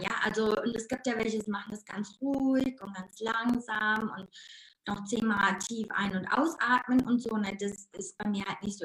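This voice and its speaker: A woman coach from the Ruhr area with a Ruhr German accent, talking in a casual interview, lively and bubbly, with words tumbling out and frequent laughs. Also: medium pitch, echoey room, low-quality recording.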